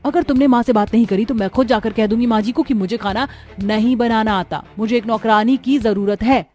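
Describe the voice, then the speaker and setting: A woman's voice: a little high, brisk, mellow, soft background music, natural voice. A woman voicing a modern, anxious young daughter-in-law in a family cartoon, pleading and insistent. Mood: demanding. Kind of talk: Hindi cartoon story dialogue.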